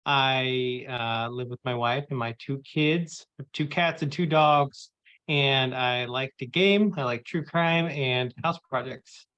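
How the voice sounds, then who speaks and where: A man's voice: mid-range voice, slow, a bit bright, smooth. A man, co-hosting a user group session on a video call, chatty and teasing with many fillers. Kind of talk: casual video call presentation. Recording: muffled lo-fi call audio.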